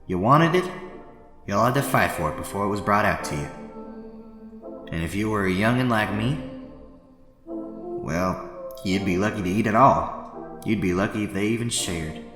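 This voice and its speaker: A man's voice: on the low side, very animated, moderate pace, pauses often, reverberant, in a large hall, music in the background. A man voicing an indignant young boy bunny in an amateur cartoon dub, with a folksy, sassy drawl. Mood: somber recollection. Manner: folksy, sassy, scolding drawl with y'all and darn. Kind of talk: scripted amateur comic dub dialogue.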